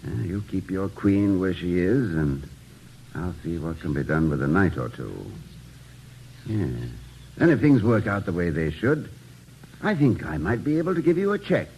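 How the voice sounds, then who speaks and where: Courtly college president, dry wit, hesitant politeness, expressive intonation, hissy vintage radio recording. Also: male voice, deep voice, normal speed, low-quality recording. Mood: musing, kindly. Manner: polite, hesitant, dryly witty. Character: courteous, gently witty, kindly college president. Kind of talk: 1950s radio comedy-drama acting.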